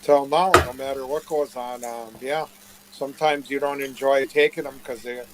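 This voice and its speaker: A man's voice: medium pitch, steady tone, moderate pace, low-quality recording. A man answering questions in a casual live chat, rambling and plain-spoken. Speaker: interview guest.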